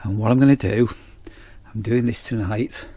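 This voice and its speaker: A man's voice: on the low side, moderate pace, warm tone, a little hiss or hum. A man in a campsite warden role, chatting casually outdoors as he reviews a torch on an action camera. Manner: chatty, rambling, repeats "as I say". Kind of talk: casual product review vlog.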